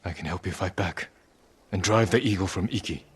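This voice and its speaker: An actor playing a grim, driven young samurai in a video game, speaking in a terse, resolute way. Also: male voice, deep voice, a little fast, husky. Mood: grim, determined.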